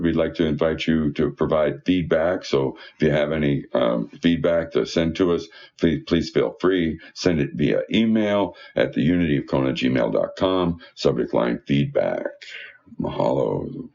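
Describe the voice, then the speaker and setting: A man's voice: extremely low pitch, animated, normal speed, vocal fry, darkish tone, lo-fi sound. A man reading church newsletter announcements aloud in a folksy, conversational way with informal asides.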